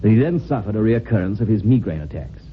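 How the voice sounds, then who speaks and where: Man playing animated police inspector, old noisy recording. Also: fast, close to an everyday voice. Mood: probing. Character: shrewd, businesslike male police inspector. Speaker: actor in a radio mystery. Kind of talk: old-time radio drama acting. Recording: rumbly, noisy old narrowband radio recording.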